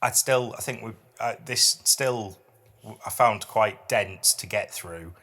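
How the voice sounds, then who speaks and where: British dad, casual and wry. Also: male voice, lowish voice, takes it slowly, pushed and bright. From United Kingdom. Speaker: podcast host and dad. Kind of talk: casual chat with dramatic poetry readings.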